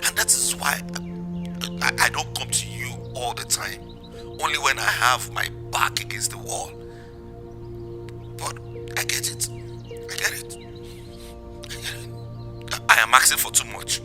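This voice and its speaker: The hard-up Nigerian man in a gospel radio play, breathy and hesitant, turning to his brother as music plays. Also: medium pitch, sing-song, measured pace, long pauses. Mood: dejected. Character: downcast, struggling man seeking his brother's help. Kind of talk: gospel audio drama acting.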